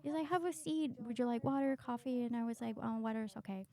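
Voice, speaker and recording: A woman from Chile talks casually about her life as a podcast guest. Her voice sits fairly high. She is animated and candid, poking fun at herself and swearing now and then. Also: racing. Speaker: podcast guest, doctor in training. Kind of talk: casual personal storytelling.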